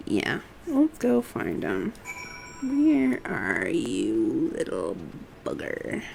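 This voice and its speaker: Female streamer, casual and unhurried, gravelly edge, halting think-aloud commentary over faint background noise. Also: low-pitched, wildly swooping pitch, a little mellow, faint music behind, poor audio. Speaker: game streamer. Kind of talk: casual live gameplay commentary, reading quest dialogue aloud.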